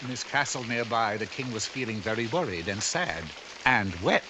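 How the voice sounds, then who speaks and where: A man's voice: mid-range voice, expressive, moderate pace, background noise. A man narrating a fairy tale as a wry, scripted storybook narrator.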